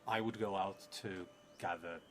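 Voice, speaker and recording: A man's voice: low voice, steady tone, normal speed, fairly bright tone. A man in his thirties improvising a story aloud for a solo tabletop game, hesitant and musing, often trailing off. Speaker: solo tabletop roleplay player and streamer. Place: indoors at a table in a theatre room. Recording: clearly noisy room recording.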